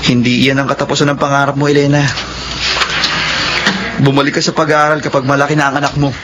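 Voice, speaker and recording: A man's voice: medium pitch, flat delivery, quick pace, over loud music, natural voice. A man playing an earnest, encouraging young honor graduate in a Filipino radio drama. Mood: earnest encouragement. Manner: earnest, reassuring. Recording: radio drama with clear background ambience noise.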